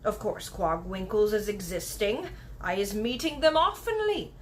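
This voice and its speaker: A woman voicing a gentle, earnest storybook giant who speaks slowly in muddled, childlike grammar with made-up words. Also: medium pitch, animated, slight background noise, close to an everyday voice. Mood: earnest, indignant. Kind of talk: storybook read-aloud character voice.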